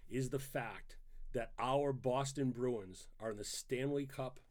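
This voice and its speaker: A man's voice: mid-range voice, slow pace, darkish tone. A middle-aged man with a Maine accent chats as a podcast guest. He picks his words in a mock-formal way and keeps a playful edge. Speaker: guest, host's father. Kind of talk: casual podcast chat.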